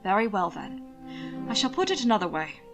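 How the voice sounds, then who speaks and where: A witty young man from a Regency novel voiced by a woman. He sounds arch and wry with a sour edge, while music plays low. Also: very animated, brisk, vocal fry. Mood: dry.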